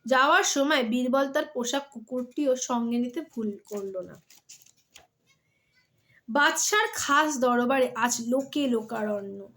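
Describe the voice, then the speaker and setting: High-voiced woman storyteller. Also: slow, a bit bright. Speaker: storyteller and host of a story-reading channel. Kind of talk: expressive storybook narration and casual chat to viewers. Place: at home.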